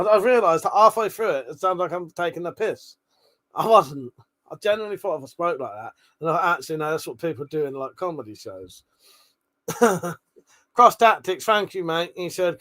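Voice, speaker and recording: A man's voice: on the high side, expressive, brisk, a bit bright. A British man hosting a livestream, chatting casually in an informal, rambling, sweary way as he reads and answers viewer comments. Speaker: livestream host.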